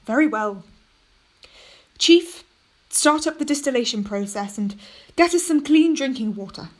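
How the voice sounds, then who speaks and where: A woman voicing a measured, firm ship's captain in a radio play, narrating log entries and giving orders. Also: high-pitched, animated. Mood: steady. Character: steady male ship's captain keeping a log. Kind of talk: amateur radio drama acting.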